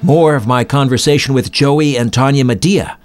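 A man's voice: medium pitch, normal speed. A man hosting a radio-style podcast, moving between interview questions and sponsor reads. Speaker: podcast host.

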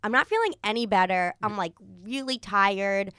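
Bright young American woman telling stories casually. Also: mid-range voice, normal speed, clean tone, dry recording, studio quality. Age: young adult. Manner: animated, rambling, acts out quoted dialogue. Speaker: podcast host. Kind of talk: casual conversational storytelling. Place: podcast studio.

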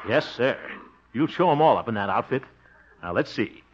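A man's voice: mid-range voice, animated, normal speed, natural voice. An actor playing a fussy, proud, blustering father in a hearty 1950s radio comedy style. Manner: hearty, fussing, blustering, chuckling. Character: blustery, fussy, proud adoptive father, jolly small-town homemaker. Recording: dull, hissy old radio recording.

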